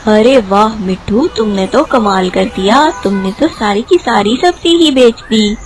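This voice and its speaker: A woman's voice: medium pitch, a little slow, soft background music, pitched below the performer's own voice, lightly acted. A woman voicing a kind, fatherly male vegetable seller who speaks reassuringly in a children's cartoon story. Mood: impressed.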